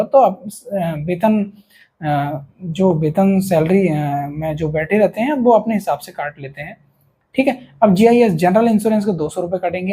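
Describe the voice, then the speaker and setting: Indian man, high-pitched, mellow, informal explainer, instructive presenter, frequent check-in tags. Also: slightly rough. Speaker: video presenter explaining police pay. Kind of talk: informal explainer addressed to viewers.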